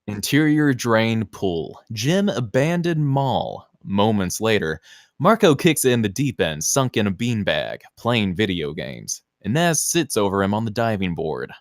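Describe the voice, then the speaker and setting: A man reads screenplay action lines with expressive, swinging intonation. The delivery is dramatic and punchy, in a close, dry sound. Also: on the low side, measured pace, dry recording. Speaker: stage-direction narrator. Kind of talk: scripted screenplay narration.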